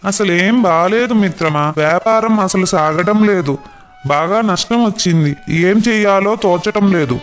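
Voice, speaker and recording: A man playing a greedy, hot-tempered merchant in an animated moral story, speaking in a dramatic, declamatory way. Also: high voice, a little slow, soft background music. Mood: dejected.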